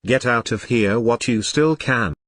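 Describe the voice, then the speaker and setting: A man's voice: mid-range voice, a little slow, a bit bright, dry recording. A man voicing a formal emergency alert announcer in a scripted, synthetic-sounding broadcast style. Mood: formal warning.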